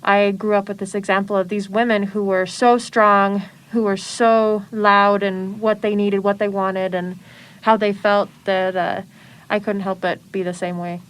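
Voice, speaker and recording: A woman's voice: mid-range voice, little pitch movement, measured pace, clean tone. A woman in her twenties, a guest on an interview podcast, telling about her family and life in an earnest, matter-of-fact way. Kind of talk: casual interview conversation.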